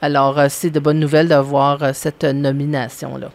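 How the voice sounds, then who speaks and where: A correspondent chats on the radio, halting often and restarting sentences. The pitch sits right in the middle range. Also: level tone. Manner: hesitant, many fillers and restarts. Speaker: regional correspondent. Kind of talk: radio chat.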